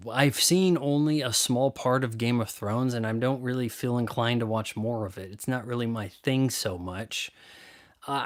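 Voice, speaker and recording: A man hosting a live stream, casually answering viewer questions in a blunt, opinionated, rambling way. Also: medium pitch.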